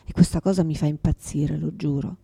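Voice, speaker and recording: A woman's voice: low voice, fairly even intonation, fairly quick, gravelly, mellow, breathy edge, dry recording, full character voice. An actress playing a confused, forgetful mother in a radio theatre monologue, exhausted, bitter and pleading, speaking in repetitive fragments. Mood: bitter, rambling. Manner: fragmented, repetitive, swearing, swinging from whispers to outbursts.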